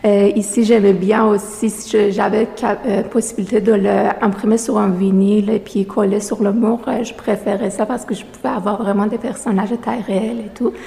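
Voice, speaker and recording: Non-native woman artist, hesitant and halting, gravelly low-bright tone, gallery reverb. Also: flat delivery. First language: not French. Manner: hesitant, many fillers and restarts. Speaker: exhibiting artist. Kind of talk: interview answers. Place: art gallery. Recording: long reverb of a gallery room.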